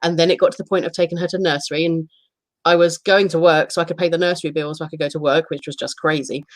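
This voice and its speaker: A woman's voice: deep voice, steady tone, fast talker, no room sound. A woman in her thirties, co-hosting a casual chat and telling candid, self-deprecating stories. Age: 30s. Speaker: podcast co-host.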